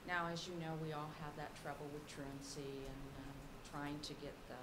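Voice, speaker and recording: Deep-voiced woman school director, brisk and businesslike, noisy meeting room recording. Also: fairly even intonation. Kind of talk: briefing at a public board meeting.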